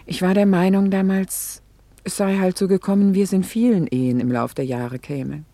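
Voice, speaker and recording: An actress playing a reflective, vain middle-aged wife in a radio play, hesitant and confiding, with dramatic pauses and breathy near-whispers. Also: female voice, fairly low pitch, mellow, dry recording, natural voice. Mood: wistful, reflective. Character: reflective, vain, self-reproaching middle-aged wife confessing her memories. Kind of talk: intimate German radio play monologue.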